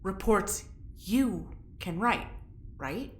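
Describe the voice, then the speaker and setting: An actress playing an anxious, sharp-tongued young woman in a sci-fi audio drama, speaking bluntly and informally. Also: medium pitch, lively intonation, very slow. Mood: probing. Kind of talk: audio drama acting, naturalistic dialogue. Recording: roomy echo, faint background noise.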